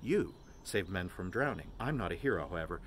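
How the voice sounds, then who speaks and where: A man voicing a weary, resentful young man in a quiet, sullen, terse manner. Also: deep voice, expressive, a little fast, a little hiss or hum. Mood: fearful, gloomy.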